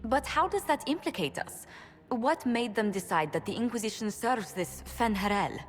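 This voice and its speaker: A woman's voice: on the high side, animated, moderate pace, close to an everyday voice. An actress playing a poised, diplomatic female ambassador in a fantasy video game, formal and emphatic. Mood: puzzled. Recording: hall reverb with music behind.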